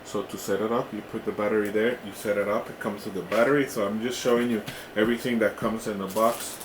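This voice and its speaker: A man giving a casual hands-on product demo in a halting way, often checking in with the listener. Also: lowish voice, steady tone. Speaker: product reviewer. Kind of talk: casual hands-on unboxing demonstration. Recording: roomy echo with clear background noise.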